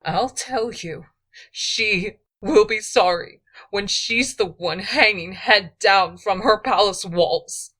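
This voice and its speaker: A woman voicing a proud, hot-tempered young queen who snaps in a raised, sharp voice. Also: mid-range voice, slow pace, voice pushed up. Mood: furious.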